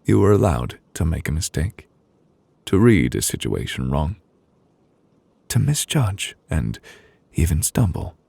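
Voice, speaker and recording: Casting: actor as tender, solemn male fantasy judge for ASMR roleplay; extremely low, husky, close and reassuring. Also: no echo, heavily acted. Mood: gentle, reassuring. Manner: slow, deliberate, gently reassuring with pauses. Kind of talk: intimate ASMR audio roleplay. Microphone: close, dry studio microphone.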